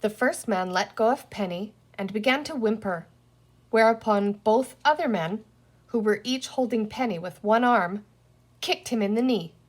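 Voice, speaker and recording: A woman's voice: mid-range voice, normal speed. A woman reading a children's storybook aloud as narrator. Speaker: reader, teacher reading aloud.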